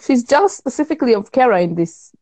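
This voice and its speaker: Woman chatting, lively pitch. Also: quick pace, no echo. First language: Hebrew. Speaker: podcast co-host. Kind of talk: casual chat.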